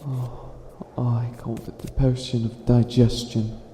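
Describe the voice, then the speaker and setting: A man playing a centuries-old, boastful but bumbling evil witch in an ASMR comedy roleplay, speaking in a theatrical, halting, grandiose way. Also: medium pitch, flat delivery, dark tone, reverberant, slight background noise, natural voice. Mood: musing.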